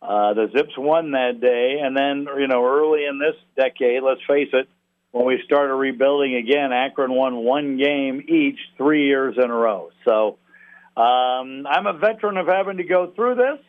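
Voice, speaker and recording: A middle-aged man, a sports announcer, talks as an interview guest in a halting, wry, conversational way. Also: mid-range voice. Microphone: telephone. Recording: tinny phone line.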